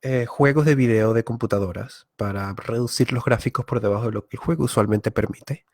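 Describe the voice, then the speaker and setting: A man from Venezuela, a YouTuber giving a live online masterclass, thinking aloud with filler pauses and frequent self-corrections. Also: medium pitch, darkish tone, no room sound. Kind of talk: live-streamed talk.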